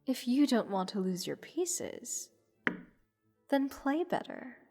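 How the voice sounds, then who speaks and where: A woman playing a haughty, playful goddess who teases a captive mortal in an unhurried, condescending manner, in a roleplay audio drama. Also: fairly high pitch, very animated, warm tone, exaggerated voice. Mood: taunting. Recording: long hall reverb. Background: music.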